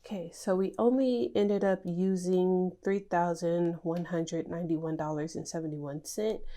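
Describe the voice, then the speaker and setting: A young woman whose voice sits a little low, sounding nasal and stuffed up from a cold. She talks in a relaxed, chatty way, with plenty of fillers, like a host talking through her planner. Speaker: budgeting channel host. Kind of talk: casual talk over budget planning.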